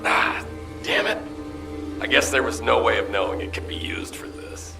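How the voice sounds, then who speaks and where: A super gravelly hotheaded army boss in a game, blunt, swingy pitch, music playing. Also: male voice, sing-song, normal speed. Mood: exasperated. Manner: blunt, exasperated. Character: gruff, impatient, hot-headed male military commander. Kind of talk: dramatic video game cutscene acting. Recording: distant voice under music.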